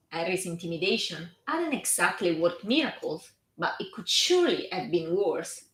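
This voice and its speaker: A woman's voice: on the low side, low-quality recording. A woman with a strong Italian accent who reads a story aloud on a live stream, with chatty, self-conscious asides. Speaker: fan fiction reader and live streamer.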